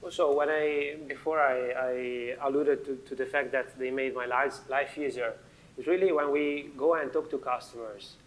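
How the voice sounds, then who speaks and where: A man with an Italian accent talks on a conference panel. He often stops with fillers and starts sentences again. His voice sits at an ordinary middle pitch. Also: steady tone, moderate pace, low-quality recording. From Italy. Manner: hesitant, many fillers and restarts. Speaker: panel speaker.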